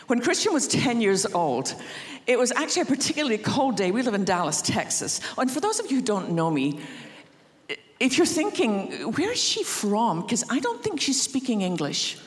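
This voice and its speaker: A woman from Scotland with a Scottish accent, preaching a sermon and telling a family story with gentle humor. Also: a little high, sing-song, normal speed. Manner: warm anecdotal humor, acts out quotes. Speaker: guest preacher. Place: large church auditorium. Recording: reverberant church hall.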